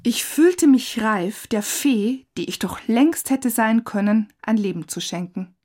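A woman's voice: a little high, lively intonation, a little slow, dark tone. A middle-aged woman telling a first-person story as narrator of a radio feature. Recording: music under the voice. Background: music.

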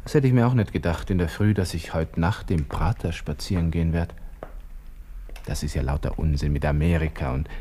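A man's voice: low-pitched, a little fast, mellow, slight background noise, natural voice. An actor playing a despairing young army lieutenant with a Viennese accent, muttering his thoughts to himself in a radio drama monologue. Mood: bitter, hopeless. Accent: Austrian, Viennese. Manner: muttering to himself, colloquial, broken musing. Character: young Austrian army lieutenant, despairing, brooding over suicide. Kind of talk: radio drama interior monologue, close and intimate.

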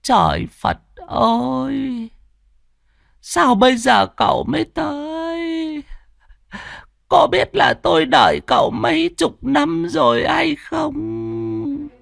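Male narrator doing a shaky, tearful old woman, high and soft, slow, over faint music. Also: very animated, gravelly, no echo, clean studio sound, full character voice. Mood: anguished. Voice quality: weak, trembling, stammering. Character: frail old woman lottery seller, tearful.

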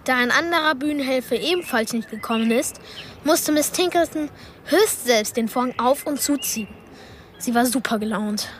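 Woman playing a very high-voiced, forthright boy. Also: moderate pace, a little hiss or hum, lightly acted. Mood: sardonic. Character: forthright, earnest boy, the heroine's school friend. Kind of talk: radio play acting.

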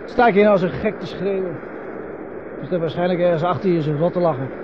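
An actor with a Netherlands Dutch accent playing a curt, grumbling loner in a radio drama. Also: male voice, high voice, quick pace, lightly acted. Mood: bitter, agitated. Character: gruff, terse, reclusive man living on an island. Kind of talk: 1970s radio drama acting. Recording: dull old recording, loud background noise.

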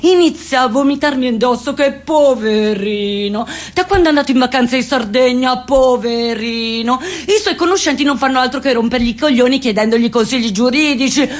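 Expressive woman in a comic monologue. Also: high voice, normal speed, few pauses. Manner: expressive, dramatic storytelling. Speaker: stage actress performing a monologue. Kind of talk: theatrical first-person comic monologue.

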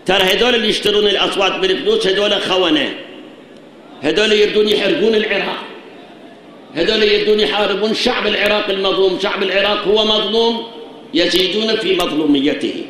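A man with an Iraqi Arabic accent preaches earnestly, firing rhetorical questions at his listeners. His voice sits a little high and sounds tired. He is recorded in a hugely reverberant shrine hall with a faint hiss of noise underneath. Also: fast talker, fairly bright tone. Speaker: cleric preaching. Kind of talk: sermon.

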